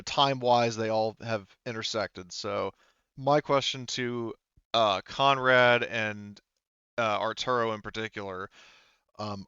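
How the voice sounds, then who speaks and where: A man narrating a tabletop roleplaying game as game master, in a halting, rambling way, thinking aloud as he goes. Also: lowish voice, fairly bright tone, clean tone, no echo, lo-fi sound.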